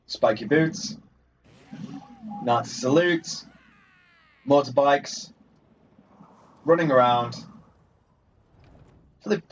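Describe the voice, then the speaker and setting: Rambling man on a muffled mic. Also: mid-range voice. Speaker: gaming video host. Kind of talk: casual let's play commentary.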